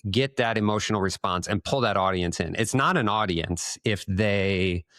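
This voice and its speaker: Very low-voiced man, halting delivery. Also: a bit bright, no echo, studio quality. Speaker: podcast co-host asking questions. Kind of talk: casual business podcast conversation.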